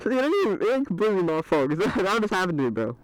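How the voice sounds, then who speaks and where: Animated, slangy man rambling outdoors. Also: sits high, rapid-fire, poor audio. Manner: slangy, heavy swearing, says "bro" often. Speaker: vlogger riding an electric scooter. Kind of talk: casual rambling POV ride commentary. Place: outdoors on a street, riding a scooter. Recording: outdoor street recording with clear vehicle noise.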